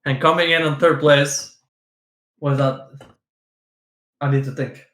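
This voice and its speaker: A man's voice: on the high side, fairly even intonation, a little slow, a bit bright, reverberant. A man, a guest on a stream, chatting playfully with giggles and lots of restarts. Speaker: guest, esports player. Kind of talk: casual chat on a stream.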